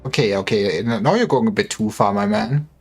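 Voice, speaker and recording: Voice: mid-range voice, a little fast. A livestreamer giving casual, wry commentary on a game, with joking asides. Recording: faint music behind. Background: game music.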